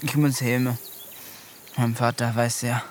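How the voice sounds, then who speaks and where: A man's voice: mid-range voice, flat delivery, slow, natural voice. An actor plays a brooding, tough village boy in his teens. He speaks tersely and bluntly in a naturalistic radio play. The outdoor recording has crickets and noise around him. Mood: guarded, gruff. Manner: terse, blunt, few words. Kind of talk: naturalistic German radio drama acting.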